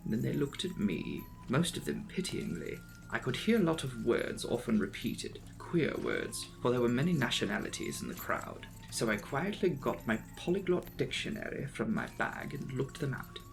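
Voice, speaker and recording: A man narrating a classic novel as a dramatic audiobook, with measured, formal, theatrical phrasing. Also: medium pitch, creaky, poor audio.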